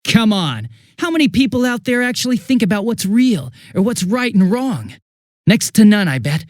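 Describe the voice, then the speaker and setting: An American man playing a cynical, bitter young villain in a video game, with a husky voice and a flippant, contemptuous manner. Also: high voice, very animated, normal speed, no room sound, clean studio sound. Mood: mocking, jaded. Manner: flippant, mocking, contemptuous drawl. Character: cynical, bitter, sneering young male villain turning unhinged.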